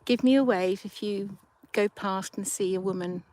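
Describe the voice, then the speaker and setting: A woman's voice: mid-range voice, mellow, no room sound. A woman, hosting a casual livestream outdoors by a river and chatting with viewers through a handheld phone. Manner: slow, chatty, thinking aloud. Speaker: livestream host. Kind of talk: casual chat with live viewers.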